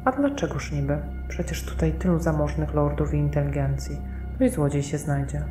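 An actress playing a wealthy, suspicious wife in an audio drama, musing and questioning insistently. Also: female voice, sits low, fairly quick, close to an everyday voice. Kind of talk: Polish audio drama acting. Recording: echoey room, music behind.

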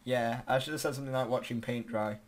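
A young British man chatting casually and excitably with a friend as he commentates on a game, often putting himself down. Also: mid-range voice, fast. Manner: excitable, self-deprecating. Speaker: gaming video host. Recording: noisy amateur mic, game audio behind.

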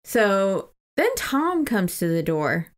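A woman's voice: mid-range voice, big pitch swings, takes it slowly. A woman co-hosting a podcast, chatting casually about books in an irreverent, freely swearing way. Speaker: podcast co-host. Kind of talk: casual book-discussion chat.

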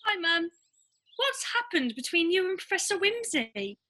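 Woman playing a very high, bright youngster. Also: big pitch swings, moderate pace, exaggerated voice. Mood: concerned. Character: earnest, outspoken child of the pantomime mother. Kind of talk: radio pantomime acting.

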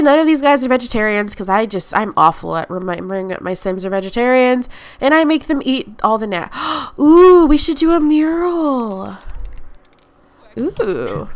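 A bubbly woman with a slightly high voice chats over her gameplay. She talks casually and excitedly, often addressing the characters on screen directly. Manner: bubbly, chatty, talking to her game characters. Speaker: gameplay commentator. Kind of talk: casual let's-play commentary.

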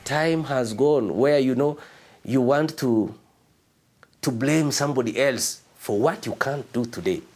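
A man's voice: mid-range voice, measured pace, darkish tone, soft background music. A middle-aged man answering interview questions emphatically in an indoor room.

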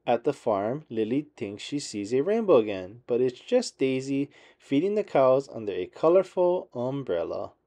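A man reads a storybook aloud for small children. His voice is mellow and moves up and down animatedly, with measured, expressive phrasing. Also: medium pitch. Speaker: children's book narrator.

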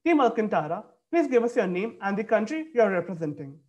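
A man's voice: high voice, sing-song, fast talker, a little mellow, dull audio. A young man reads out formal announcements as a debate moderator, evenly and from a script. He is speaking into a computer mic on a video call from an indoor room.

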